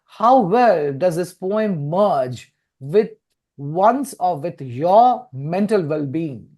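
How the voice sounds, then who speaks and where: A man hosting a literary interview, speaking deliberately and repeating words for emphasis. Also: high-pitched, animated, warm tone. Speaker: interviewer, poet and publisher.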